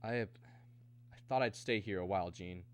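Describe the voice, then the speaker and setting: A man's voice: sits low, moderate pace, natural voice. An actor in a radio drama playing an earnest, troubled man, both narrating and acting in the scenes. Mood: steady. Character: earnest, troubled man searching for his missing brother. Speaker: lead and narrator.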